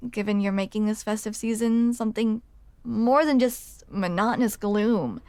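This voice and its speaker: A woman's voice: medium pitch, moderate pace, mellow, no echo, lightly acted. A young woman playing a grumpy, smitten barista in an intimate ASMR roleplay, awkward and hesitant as she confides in the listener. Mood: relieved, grateful. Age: young adult. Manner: awkward, hesitant, self-deprecating, confessional.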